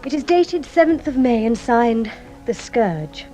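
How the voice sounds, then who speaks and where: A woman's voice: on the high side, animated, a little slow, dark tone, faint music behind. An actress playing a composed, proud young French lady in a radio drama, speaking formally and with restraint. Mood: cool, compliant. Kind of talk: British radio drama acting.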